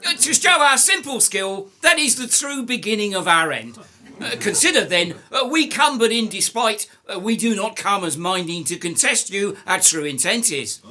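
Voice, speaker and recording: An actor playing a nervous, bumbling amateur player reciting a prologue in a comic Shakespearean radio play, with halting, misplaced pauses. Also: male voice, sits high, expressive, sharp tone, exaggerated voice. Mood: nervous, eager.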